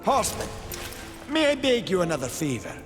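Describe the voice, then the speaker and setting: Eager young craftsman, lively, reverberant. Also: sits high, a little fast, music in the background. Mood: hopeful. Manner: friendly, wistful, old-fashioned phrasing. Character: earnest, eager young male fantasy craftsman. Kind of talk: video game cutscene acting. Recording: long hall-like reverb.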